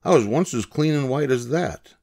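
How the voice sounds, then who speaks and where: A man's voice: medium pitch, expressive, moderate pace, a little mellow, pitched above the performer's own voice. A man voicing a young, earnest male polar bear cub in a children's storybook, innocent and eager. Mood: excited.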